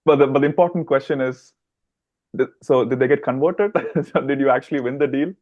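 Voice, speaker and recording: A man's voice: mid-range voice, fairly quick, dry recording, narrow, tinny audio. A man hosting a podcast, laughing often and asking long, rambling questions. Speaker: podcast host. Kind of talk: casual interview questions.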